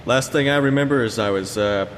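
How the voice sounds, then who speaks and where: A man's voice: medium pitch, fairly quick, natural voice. Man playing awkward physicist in a reverberant room. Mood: awkward, rambling. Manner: hesitant, stumbling, voice changing register. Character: Chad, nervous awkward male Yale physicist who sleepwalks. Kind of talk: improvised comedy skit. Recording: noisy, reverberant room recording.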